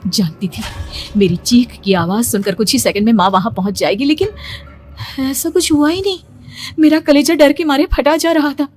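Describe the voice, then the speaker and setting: A young woman narrating a horror cartoon story in the first person, hushed and suspenseful. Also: high-pitched, a little hiss or hum. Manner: hushed, suspenseful, rising to frightened.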